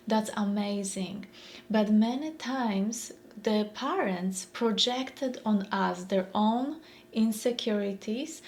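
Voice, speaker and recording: Slow-paced Polish-accented woman. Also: medium pitch, darkish tone. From Poland. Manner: thoughtful, repeats phrases, searches for words. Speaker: mindfulness speaker. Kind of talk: reflective motivational talk.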